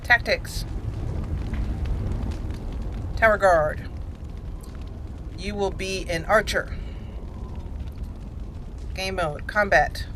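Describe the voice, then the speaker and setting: A woman's voice: on the low side, a bit bright. Chatty woman gamer, noisy background. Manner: chatty, thinking aloud. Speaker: host of a gaming channel. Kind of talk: casual video game playthrough commentary.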